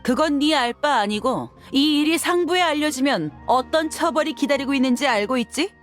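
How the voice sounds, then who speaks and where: Young mercenary woman, game role: higher register, blunt, firm, proud, bitter, music lightly behind. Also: lively intonation, normal speed. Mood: stern, threatening. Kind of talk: dubbed video game acting.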